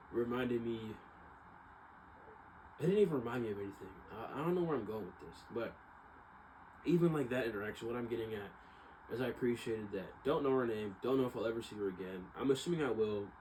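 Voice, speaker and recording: A man's voice: mid-range voice, a little mellow. A man talking casually and unscripted, in a rambling, self-doubting way that circles back and repeats. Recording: clearly noisy ambient background.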